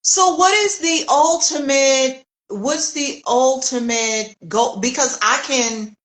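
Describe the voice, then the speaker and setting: A woman from the United States hosting a conversational interview, animated and fond of acting out quoted voices. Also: sits high, takes it slowly. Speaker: host.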